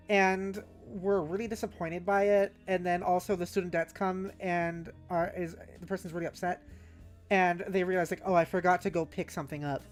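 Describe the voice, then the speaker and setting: A woman's voice: fairly low pitch, a little mellow. A woman livestreaming casually, thinking aloud in loose fragments and chatting informally with her viewers in a playful way. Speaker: livestreamer host. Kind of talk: casual livestream brainstorming, reading chat aloud. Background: background music.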